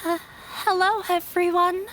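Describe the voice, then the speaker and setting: A woman's voice: very high voice, flat delivery, measured pace, fairly bright tone, slight background noise. An actress voicing a shy, nervous young female dancer in a video game, speaking hesitantly. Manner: hesitant, timid. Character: shy, nervous young woman, novice dancer, awkward daughter.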